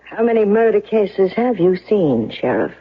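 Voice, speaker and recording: Sharp-witted female defense attorney, probing and businesslike, 70s radio play, dull old broadcast sound. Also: mid-range voice, sing-song, moderate pace, breathy edge. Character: shrewd, brisk, self-assured female defense lawyer questioning people. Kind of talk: 1970s radio drama acting.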